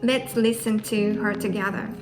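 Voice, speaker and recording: A woman from Japan introducing her channel as host, speaking slowly and carefully in a friendly way. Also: mid-range voice, steady tone, low-quality recording. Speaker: host, pattern maker. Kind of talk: scripted intro to camera. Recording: music playing under voice.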